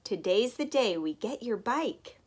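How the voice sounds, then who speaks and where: A woman's voice: fairly high pitch, animated, measured pace, slightly rough. A woman voicing a patient, encouraging father frog in a children's storybook. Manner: coaxing, instructive.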